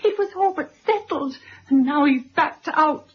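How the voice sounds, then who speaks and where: An actress playing a petulant, emotional young daughter in a melodramatic radio drama, pleading and accusing. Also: female voice, high-pitched, unhurried. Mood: tearful, frantic. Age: young adult. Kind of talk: 1970s radio drama acting. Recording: dull old radio recording.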